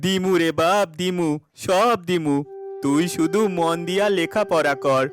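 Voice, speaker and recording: A male actor as a poor, devout village farmer in a cartoon. His fairly high male voice is earnest and plaintive, with a rural Bangladeshi Bengali accent, over soft music. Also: measured pace. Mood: hopeful, tender. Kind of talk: cartoon voice acting.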